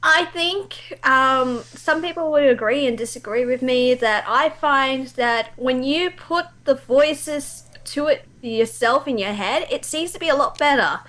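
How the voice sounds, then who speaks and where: A woman's voice: high-pitched, unhurried, few pauses, sharp tone. A young adult woman with an Australian accent, telling anecdotes casually as an interview guest in a dramatizing way. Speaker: amateur voice actor, interview guest.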